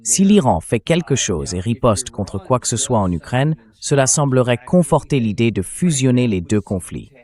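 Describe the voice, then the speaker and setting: A man's voice: mid-range voice, big pitch swings, normal speed, few pauses, darkish tone. A man hosting a political interview, heard as a dubbed translation. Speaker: host, interviewer.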